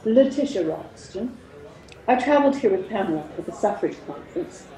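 Woman acting courteous older lady, soft mellow tone. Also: mid-range voice, animated, normal speed, close to an everyday voice. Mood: polite, concerned. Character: courteous, kindly lady companion of 1908. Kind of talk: period radio play acting. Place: at home on a video call. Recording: roomy home video-call sound.

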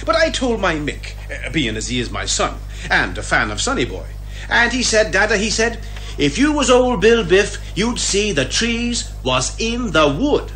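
A hearty Irish policeman in an eighties radio comedy drama. His voice is high and bright, folksy and effusive in manner. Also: very animated, moderate pace, faint music behind. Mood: hearty, grateful. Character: hearty, grateful, talkative Irish male police officer. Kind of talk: 1980s British radio comedy drama acting.

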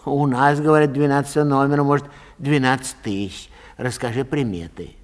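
A man's voice: mid-range voice, moderate pace, close to an everyday voice. A man playing a polite but unbudging lost-property clerk in a comic story, bureaucratic and colloquial. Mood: brisk. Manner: bureaucratic, colloquial, matter-of-fact. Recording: long hall reverb.

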